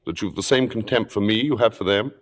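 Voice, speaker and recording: Suave, low-voiced, acid-tongued critic played by a man. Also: fast talker, natural voice. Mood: cold, menacing. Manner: urbane, sardonic, precise phrasing. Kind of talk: 1950s radio drama acting and narration. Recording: reverberant old-time radio broadcast recording.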